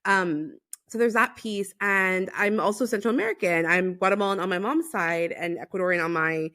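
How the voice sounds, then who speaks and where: A woman's voice: mid-range voice, normal speed, pushed and bright. A woman from Los Angeles hosting a podcast, chatting casually and eagerly affirming her guest. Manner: enthusiastic, lots of affirming backchannels. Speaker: podcast host. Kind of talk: casual conversational interview.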